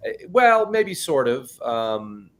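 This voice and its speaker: Loud, animated man co-hosting a podcast. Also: mid-range voice, slow. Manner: animated, self-described loud talker with a big voice. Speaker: podcast co-host. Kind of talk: casual chat.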